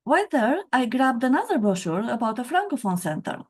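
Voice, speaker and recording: Woman, fairly high voice, middle-aged, measured memoir reading. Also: big pitch swings, husky. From Romania. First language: Romanian. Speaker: author narrating her own story.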